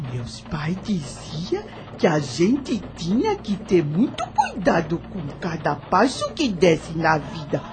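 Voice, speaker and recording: An actress playing a wise, devout old enslaved nanny in a radio drama, gentle and motherly, with a husky voice and rustic, unschooled speech. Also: lowish voice, heavily acted. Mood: tender, reflective. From taken by force from her homeland. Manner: rustic uneducated grammar, patient moral teaching.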